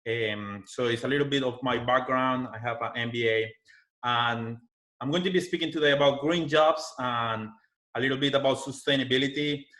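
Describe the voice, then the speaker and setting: A man from Colombia with a Colombian Spanish accent, a university sustainability manager giving a webinar talk in a halting way with restarts. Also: medium pitch, sharp tone. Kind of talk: webinar presentation.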